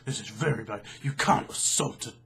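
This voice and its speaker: A man voicing a stern, disapproving school headmaster, scolding in a measured voice. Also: mid-range voice, creaky, fairly bright tone, slightly rough, heavily acted. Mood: stern disapproval.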